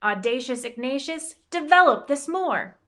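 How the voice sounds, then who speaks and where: A woman reads a rhyming children's book aloud in a slightly high voice. She takes her time over each line, with a playful, expressive lilt that lets the rhymes land. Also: measured pace. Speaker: campus ministry director reading a picture book. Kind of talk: storybook read-aloud in rhyme.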